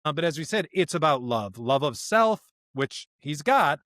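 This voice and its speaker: High-pitched, animated man hosting a podcast. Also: moderate pace, no echo, clean studio sound. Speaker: podcast host. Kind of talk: conversational theological commentary.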